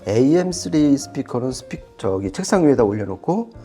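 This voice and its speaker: A man's voice: medium pitch, very animated, fairly quick, warm tone, faint music behind. A man reviewing audio products in conversational commentary, explaining things with casual, emphatic phrasing.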